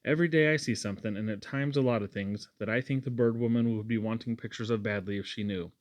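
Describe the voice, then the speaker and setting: A man's voice: fairly low pitch, quick pace, no echo, natural voice. A man reads as a young lad in a storybook, proud but polite. He speaks in an earnest, direct and courteous way, close and dry.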